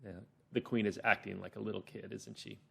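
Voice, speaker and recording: Guy teaching little kids a read-along story, lively up and down voice, explains stuff simply. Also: fairly low pitch, brisk, creaky, husky. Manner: expressive, simple explanations for young children. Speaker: teacher leading children's reading lesson. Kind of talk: read-along lesson for children.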